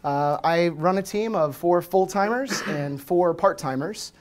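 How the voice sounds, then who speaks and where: A man's voice: sits high, unhurried, a little hiss or hum. An American man from Washington, D.C., in his thirties, giving a casual, chatty conference talk with plenty of fillers. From Washington, D.C., USA. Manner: relaxed, chatty, many fillers. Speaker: conference speaker. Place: meetup room with projector screen.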